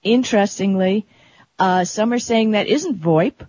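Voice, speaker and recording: A tech talk show co-host explaining things in a chatty, colloquial, conversational way, with a husky, rough-edged voice. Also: medium pitch, measured pace, a little mellow, dry recording. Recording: dull, lo-fi audio.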